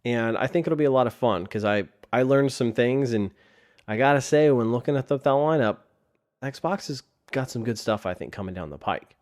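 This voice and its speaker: A man's voice: medium pitch, normal speed, a little mellow. Man podcaster with a sore, tired throat; casual rambling host delivery into a desk mic. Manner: rambling with frequent restarts. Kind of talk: casual solo podcast talk. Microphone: desk microphone.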